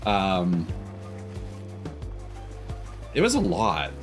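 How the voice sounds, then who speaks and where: Lower-voiced man streaming a video game. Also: wildly swooping pitch, extremely slow. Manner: opinionated, rambling and analytical. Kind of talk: casual gameplay commentary. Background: video game music.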